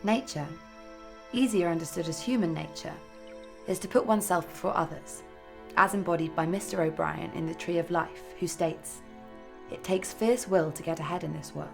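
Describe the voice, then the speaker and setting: A woman narrates a scripted video essay in a fairly low voice with noticeable vocal fry. She reads as a narrator, with clear music playing behind her. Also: normal speed.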